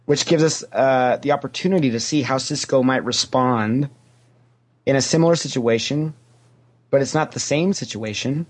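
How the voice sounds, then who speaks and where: A man talks as a guest in a relaxed fan conversation. His voice sits at an ordinary middle pitch. He states opinions firmly and repeats phrases to press each point. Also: moderate pace. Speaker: podcast interview guest. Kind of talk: casual fan discussion.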